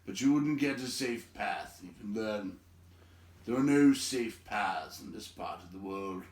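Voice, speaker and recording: A man voicing a brisk, bossy old wizard from a storybook, with an emphatic, scolding and teasing manner. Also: lowish voice, lively intonation, darkish tone, roomy sound, recorded from a distance.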